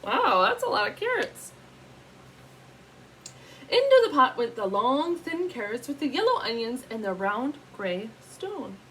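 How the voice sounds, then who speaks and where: A woman reading a picture book aloud in an animated, teacherly way, pausing to put questions to young listeners. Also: fairly high pitch, slight background noise, rough recording.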